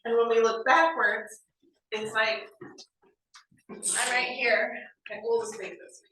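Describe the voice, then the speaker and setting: Name: none. Sex: woman. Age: 40s. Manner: upbeat, bright, chatty. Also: a little high, takes it slowly. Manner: lively, conversational, keeps checking in with "right?". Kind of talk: motivational training talk. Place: office meeting room. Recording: very echoey room, mic far off, faint noise.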